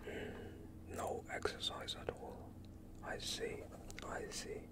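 Slow whispering young man, ASMR. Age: young adult. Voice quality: breathy unvoiced whisper. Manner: calm, soothing, unhurried questioning. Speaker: ASMR performer playing a doctor. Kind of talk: whispered ASMR medical roleplay.

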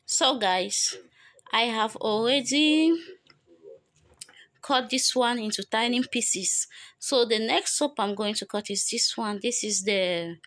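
A woman's voice: a little high, slow, a bit bright, dry recording, studio quality. A young woman making a home beauty vlog, chatting to viewers as she works, recorded on a phone with no microphone. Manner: chatty, repeats herself, addresses viewers as guys. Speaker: beauty vlogger. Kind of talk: casual how-to vlog. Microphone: phone camera, no microphone.

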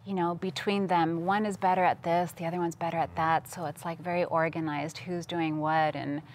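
A woman from Costa Rica speaks as a guest in a studio interview. Her voice sits slightly low and her delivery is earnest, like a personal testimony told in conversation. Some background noise is audible. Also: level tone, moderate pace. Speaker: interview guest, missions worker. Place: TV studio, seated at a table.

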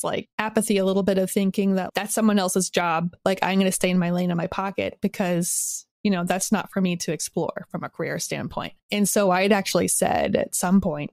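A woman's voice: lowish voice, gravelly, a little mellow, dry recording, studio quality. A woman speaking casually and reflectively as an interview guest, informally thinking aloud. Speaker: interview guest, audio drama creator.

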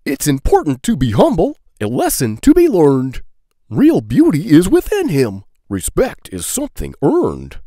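Male narrator for children's rhymes. Playful and expressive, big pitch swings, measured pace, very dry sound. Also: no room sound. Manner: playful, deliberate, sing-song delivery of rhyming verse. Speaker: children's book read-aloud narrator. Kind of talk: expressive children's poetry storybook reading.

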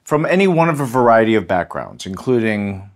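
A man with an American accent, a history professor speaking as an interview guest in a measured, articulate way. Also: lowish voice, big pitch swings. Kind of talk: thoughtful interview talk.

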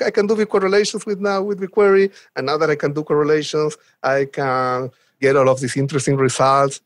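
A man's voice: on the high side, dry recording. A man from Chile with a Chilean Spanish accent, chatting casually and enthusiastically as a podcast guest. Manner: enthusiastic, chuckles while talking. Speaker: guest, software developer advocate. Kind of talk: casual podcast interview chat.

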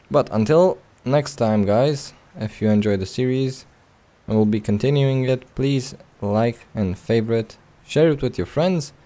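A man's voice: fairly low pitch, dark tone. A man giving casual, informal gameplay commentary as a let's play host, a little self-deprecating.

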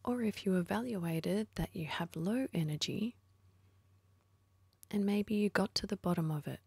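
A woman leading a guided meditation in a soft, husky, breathy voice, speaking slowly and soothingly with long pauses. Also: mid-range voice, dry recording.

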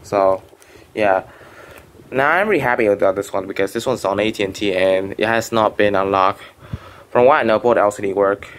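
A man's voice: fairly low pitch, normal speed. A young man whose first language is Vietnamese, casually rambling through a show-and-tell with many restarts and fillers. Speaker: phone collector vlogger. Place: bedroom at home.

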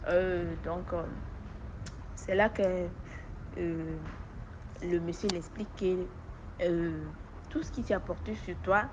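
A woman from Togo with a West African accent, speaking French as an additional language and giving an informal testimony in an animated storytelling way. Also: fairly low pitch, slow, long pauses, muffled recording, background noise. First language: not French. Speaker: testimony giver. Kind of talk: informal spoken personal testimony.

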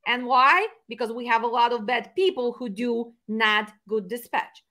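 Non-native english speaking lady host, high bright voice, lively, webcam from home. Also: normal speed. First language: not English. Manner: lively, emphatic, animated hand gestures. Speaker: host and dispatch trainer. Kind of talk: conversational interview. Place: home room indoors. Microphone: video call webcam.

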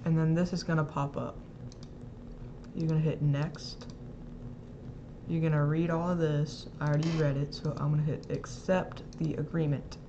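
A casual, rambling speaker hosting an informal step-by-step software tutorial, recorded close to the mic as a voice-over. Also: mid-range voice, level tone, moderate pace, highs cut off, noisy background. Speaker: tutorial host.

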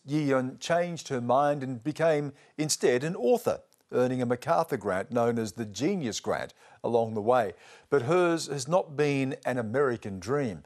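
A man's voice: medium pitch, a little mellow. A middle-aged man anchoring a news program, introducing stories and an interview with serious authority. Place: studio.

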